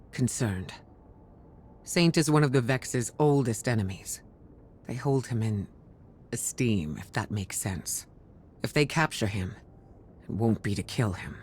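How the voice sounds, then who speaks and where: A woman playing an authoritative, concerned commander in a sci-fi video game, measured, formal and serious. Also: rumbling low, a little mellow, a little hiss or hum, exaggerated voice.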